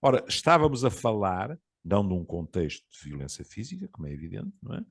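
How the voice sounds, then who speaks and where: A man in his seventies with a European Portuguese accent, telling stories in a relaxed conversation, reflective and pausing often. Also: deep voice, gravelly, slightly rough. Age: 70s. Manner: storytelling, reflective, with frequent pauses and fillers. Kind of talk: casual conversation.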